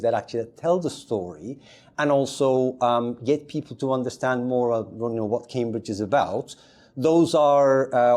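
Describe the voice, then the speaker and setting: A man who grew up in Turkey talks as a podcast guest with a mellow, dark-toned voice. He rambles loosely, circling back with fillers and restarted phrases, at an ordinary middle pitch. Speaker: podcast guest, community advisor. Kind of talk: casual chat.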